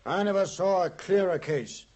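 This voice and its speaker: Male actor as cocksure police inspector, slightly high pitch, emphatic, self-satisfied, vintage 1950s radio play. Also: moderate pace, darkish tone, natural voice. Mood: smug. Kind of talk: 1950s radio drama acting. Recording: old 1950s radio recording.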